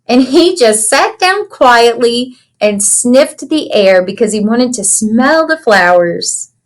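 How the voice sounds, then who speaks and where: High-pitched, slow-paced woman reading to children. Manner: animated storytelling, asking children simple questions. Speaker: children's read-aloud teacher. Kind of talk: expressive picture-book read-aloud with asides to children.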